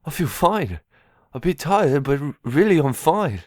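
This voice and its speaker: A man playing an earnest, mentally slow young runaway with a halting, pleading manner in an amateur audio drama. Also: fairly high pitch, very animated, a little mellow.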